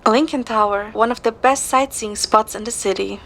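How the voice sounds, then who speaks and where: A woman's voice: fairly high pitch, moderate pace, vocal fry. A young woman narrating a romantic story in the first person and acting out dialogue, over music. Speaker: amateur narrator and voice actor. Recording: music behind the voice.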